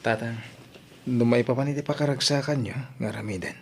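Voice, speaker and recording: A man's voice: mid-range voice, brisk, gravelly, darkish tone, slight background noise, lightly acted. A young man with an Ilocano accent playing a polite, supportive son in an audio drama. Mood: polite, earnest. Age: young adult.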